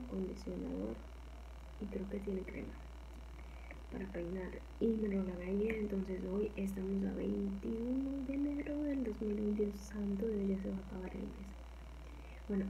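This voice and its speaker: Soft-spoken Mexican woman, gravelly low murmur. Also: lo-fi sound, slight background noise. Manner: rambling, soft, often drops to a whisper. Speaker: ASMR channel host. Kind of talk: casual soft-spoken chat. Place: small home kitchen, indoors.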